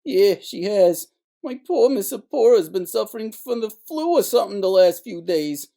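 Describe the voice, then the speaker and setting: A man's voice: sits high, lively intonation, normal speed, gravelly, warm tone. A man playing a swaggering, defensive theater playboy and pianist in a noir audio drama, fussy and indignant. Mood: protective.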